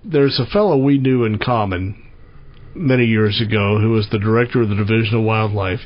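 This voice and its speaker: A man's voice: fairly low pitch, fairly quick, dull audio. Folksy man hosting a radio show. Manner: folksy, plainspoken. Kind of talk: radio interview.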